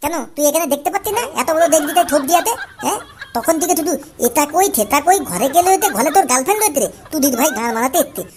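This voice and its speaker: A performer voicing a hot-tempered, boastful young male street food seller in a comic cartoon, with a squeaky, lisping, childlike voice and a scolding manner. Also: animated, racing, crowd noise. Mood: furious, scolding. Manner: ranting, scolding. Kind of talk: comic Bengali cartoon dialogue. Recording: loud music behind the voice. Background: music.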